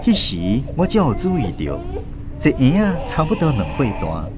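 A man with a Taiwanese accent giving expressive scripted narration as the host of a radio drama. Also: mid-range voice, normal speed.

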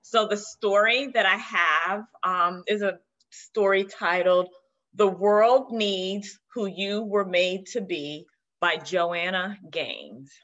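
Slow gentle woman reading a picture book. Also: mid-range voice. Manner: slow, gentle read-aloud. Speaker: guest storyteller. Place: room with shelves of children's books. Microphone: video call. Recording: dull lo-fi video call audio.

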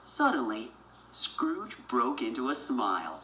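A woman's voice: very deep voice, sing-song, slow, bright, forceful tone, echoey room, rough recording. A woman narrating a children's adventure storybook from a script. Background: music.

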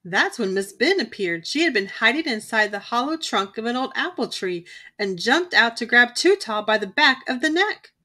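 Woman reading a kids book out loud, lively, bright highish voice. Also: moderate pace. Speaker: children's book reader. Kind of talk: read-aloud storytime narration.

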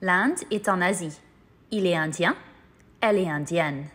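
A woman reading scripted lesson narration with careful, measured enunciation. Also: on the low side, fairly bright tone, breathy edge.

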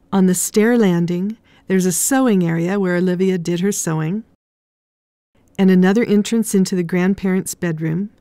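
Mellow low-pitched woman narrator. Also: no room sound. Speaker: narrator of a house tour video. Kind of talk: scripted narration.

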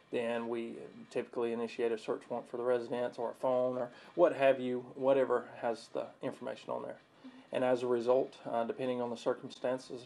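Flat, gravelly man in his thirties. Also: mid-range voice, rough recording. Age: 30s. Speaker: police detective. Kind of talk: interview answers, official explanation. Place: small office.

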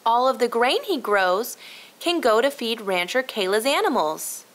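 A helpful young girl acted by a woman narrator explains things in a high, lively voice. She is earnest, in a storybook style. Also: measured pace. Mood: explaining. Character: bright, helpful young girl solving a mystery. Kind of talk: children's storybook read-aloud.

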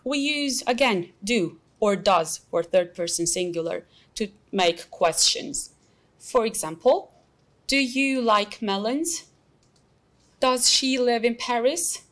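A young woman with an Albanian accent teaching an English lesson, explaining slowly and patiently with many examples. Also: on the high side, fairly bright tone. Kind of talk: recorded classroom lesson.

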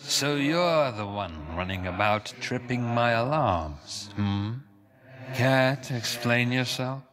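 An actor playing a haughty, scornful, scheming duke in a fantasy video game, speaking in a theatrical, measured, condescending way. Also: male voice, medium pitch. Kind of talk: dramatic video game character acting.

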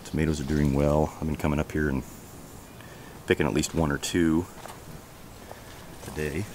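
A man giving a casual walking tour of his backyard garden outdoors, chatty and relaxed as he points things out on a handheld camera. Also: extremely low pitch, normal speed, a little hiss or hum. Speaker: homestead vlogger. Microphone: handheld camera held while walking.

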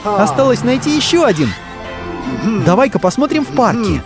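An expressive children's cartoon narrator who talks to the characters with exclamations and urging, recorded with music behind. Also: mid-range voice, normal speed.

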